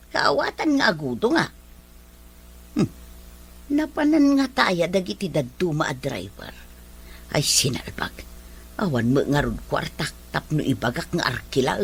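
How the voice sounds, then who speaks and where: A woman's voice: lowish voice, a bit bright, slightly rough, heavily acted. A woman playing a troubled, shamefaced village woman in a radio drama.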